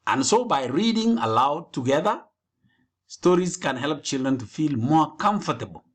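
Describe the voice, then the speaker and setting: A man giving a scripted educational presentation, speaking deliberately and phrase by phrase. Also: a little high.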